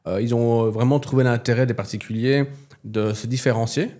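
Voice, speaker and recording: A man who is not a native French speaker, a company representative in a business interview, speaking haltingly and searching for words. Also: mid-range voice, fairly even intonation, darkish tone.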